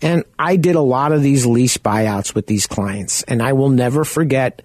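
A man hosting a car-buying radio show from home, talking in a loud, chatty, conversational way. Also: mid-range voice, moderate pace, no room sound. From Arizona. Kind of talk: casual radio talk.

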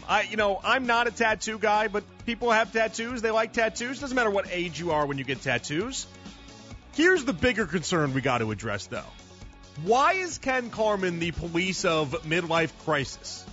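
Man, 30s, sports radio host, high bright voice, argumentative, rhetorical questions, slightly muffled broadcast, faint music. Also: very animated, moderate pace. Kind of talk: sports talk radio commentary.

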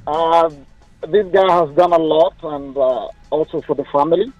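A man calling in to a radio show, answering in a halting, hesitant way. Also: on the high side, darkish tone, no room sound, highs cut off, faint music behind. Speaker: caller.

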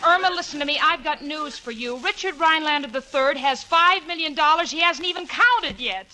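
A woman's voice: sits high, brisk, sharp tone. A woman playing an exasperated, sensible young roommate with dry sarcasm in 1940s radio comedy. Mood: furious, exasperated. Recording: noisy 1940s radio recording.

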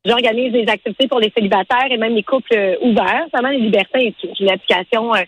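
A woman in her forties with a Quebec French accent, talking as a radio interview guest in a halting, conversational way. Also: fairly high pitch, dull audio, slight background noise. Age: 40s. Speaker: interview guest, event organizer and matchmaker.

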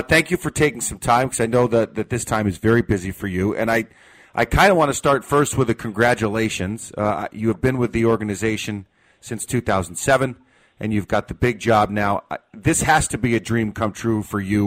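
An American man hosting live sports radio, interviewing conversationally with an announcer's phrasing. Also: medium pitch. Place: radio studio.

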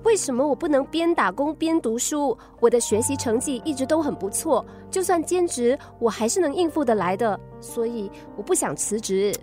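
An earnest teenage daughter in a radio drama, with a high, youthful voice. She is hesitant and pleading yet stubborn, with faint music behind her. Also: lively intonation, normal speed, hardly any pauses, darkish tone. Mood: sullen, defiant. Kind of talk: Mandarin radio drama acting.

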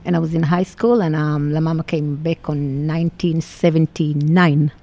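A woman's voice: sits low, fairly even intonation, normal speed, warm tone. A woman from Israel with an Israeli accent, reminiscing in an interview and searching for words as she goes. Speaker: longtime theater company member.

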